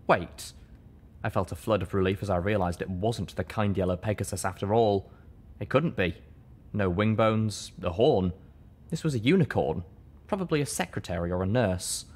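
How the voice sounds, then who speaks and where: A man reading a first-person story as an audiobook narrator, with expressive, dramatic delivery. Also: fairly low pitch.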